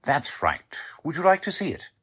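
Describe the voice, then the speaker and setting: Husky, courteous warehouse manager. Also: male voice, a little high, wildly swooping pitch, normal speed, sharp tone, exaggerated voice. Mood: polite. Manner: courteous, hesitant, fussily formal. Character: polite, defensive warehouse manager showing investigators around. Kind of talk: 1940s radio drama acting.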